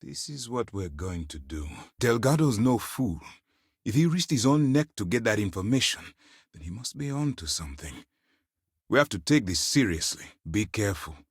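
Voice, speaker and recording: Stern military commander, low voice, highly varied intonation, formal, deliberate, authoritative, principled, video game dialogue, dry sound. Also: male voice, sing-song, breathy edge, dry recording, full character voice. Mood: grave, deliberate.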